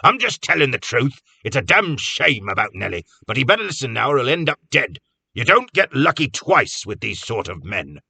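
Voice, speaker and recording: A man voicing a quarrelsome, sharp-tongued old village man who scolds the people around him. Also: on the low side, animated, fast, vocal fry, few pauses, breathy edge, dry recording, heavily acted. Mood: grumbling.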